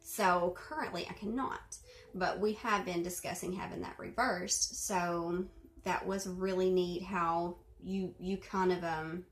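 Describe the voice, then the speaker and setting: A young woman from Arkansas chatting casually to camera at home, with lots of fillers and throat clearing. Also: fairly low pitch, fairly even intonation, a little slow. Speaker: video host responding to a reading. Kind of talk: casual chat. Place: bedroom at home. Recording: roomy echo.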